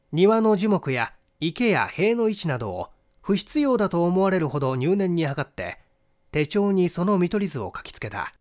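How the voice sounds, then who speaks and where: A man narrating a mystery novel in a theatrical, deliberate storytelling manner. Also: on the high side. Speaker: audiobook narrator and stage actor.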